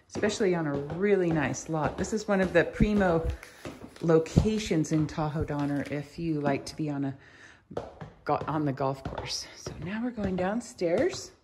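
Low-pitched woman, chatty, noisy recording. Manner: chatty, thinking aloud while walking around. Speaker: real estate agent giving a house tour. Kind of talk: casual, unscripted walkthrough narration. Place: walking through rooms of a furnished house. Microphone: handheld camera or phone held by speaker. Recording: clearly noisy handheld walkthrough recording.

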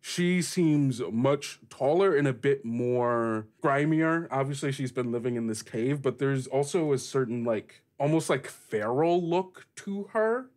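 A man's voice: medium pitch, expressive, measured pace, few pauses, darkish tone. A man hosting and narrating a tabletop podcast as game master, conversational and descriptive.

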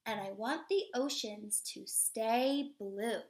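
A woman in her thirties, a teacher reading a picture book aloud to young children in an expressive, instructive way. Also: on the high side, takes it slowly. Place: living room at home, indoors.

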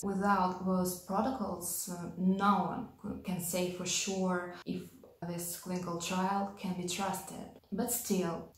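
Young russian woman vlogging at home, thick accent, slow careful english, roomy echo. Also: mid-range voice. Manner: careful, plain, slightly halting non-native English. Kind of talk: personal vlog talking to camera. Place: small home room with a piano. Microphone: handheld clip-on mic held near the mouth.

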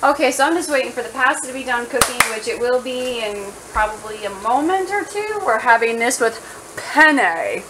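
A woman's voice: on the high side, animated, moderate pace, a bit bright. A woman chatting casually and playfully as she cooks at home, thinking aloud in an informal way. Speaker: home cooking vlogger. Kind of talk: casual chat while cooking. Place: home kitchen, indoors. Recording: noisy kitchen with food sizzling.

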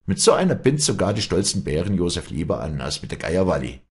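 A man's voice: low voice, sing-song, fast talker, a little mellow, breathy edge, pitched above the performer's own voice, exaggerated voice. A man voicing a proud, hot-tempered, jealous young peasant woman in Alpine Bavarian dialect, scornful and hectoring, in a storybook reading. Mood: bitter mockery.

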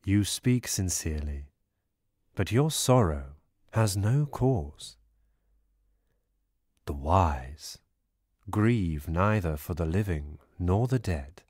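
Calm, commanding male god-teacher acted by a man for scripture audiobook: deep, husky, grave. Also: no echo, studio quality, exaggerated voice. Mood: calm, teaching. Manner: deliberate, grave, instructing.

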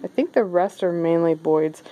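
A woman's voice: on the low side, moderate pace, dark tone. A woman casually vlogging a walk-through of a craft bazaar, chatty and upbeat with lots of fillers. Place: indoor craft bazaar hall.

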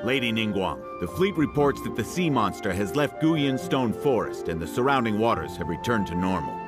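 A man's voice: fairly low pitch, big pitch swings, normal speed, over loud music. A man playing a respectful soldier in a video game, giving formal, earnest reports. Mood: dutiful, grateful. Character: respectful, dutiful male soldier reporting to his leader. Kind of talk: scripted video game voice acting.

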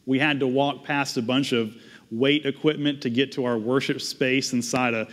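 A man in his thirties with a Southern US accent, a pastor preaching at a pulpit microphone in a conversational, self-deprecating way. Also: on the high side. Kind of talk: sermon with closing prayer. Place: large church sanctuary, at a pulpit. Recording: long reverb of a church hall.

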